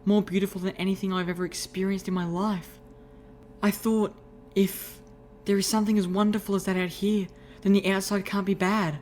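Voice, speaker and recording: A woman playing a gentle, soulful female pony who speaks in a wistful, reflective way in an audio story. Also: medium pitch, flat delivery, moderate pace, slight background noise, close to an everyday voice. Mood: wistful, awed.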